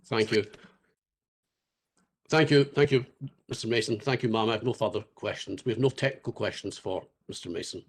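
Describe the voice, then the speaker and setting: A man questioning a witness at a public inquiry in a polite, deliberate way. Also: fairly low pitch, breathy edge. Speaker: advocate cross-examining. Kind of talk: cross-examination.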